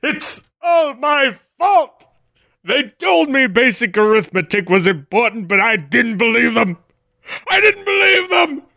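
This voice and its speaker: An actor playing a brash, dim, melodramatic adventurer hero, in old radio serial style. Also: male voice, very high-pitched, big pitch swings, a little slow, creaky, sharp tone, no echo, clean studio sound, heavily acted. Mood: anguished. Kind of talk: parody 1940s radio serial acting.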